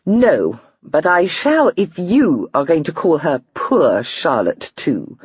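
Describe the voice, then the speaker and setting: A woman reading aloud, voicing an excitable, teasing young lady in a high, breathy, girlish voice. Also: slow pace, no echo, lo-fi sound, exaggerated voice. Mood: chattering.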